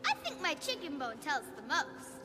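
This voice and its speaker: A woman voicing a frightened, whiny little boy in an animated film, excitable and plaintive. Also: high-pitched, moderate pace, music in the background. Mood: earnest.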